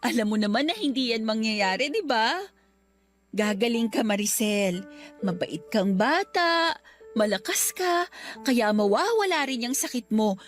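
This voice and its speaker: A woman's voice: a little high, expressive, measured pace, faint music behind. A woman playing a devoted, anxious mother of a sick child in a radio drama, tender and emotional. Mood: reassuring, tender.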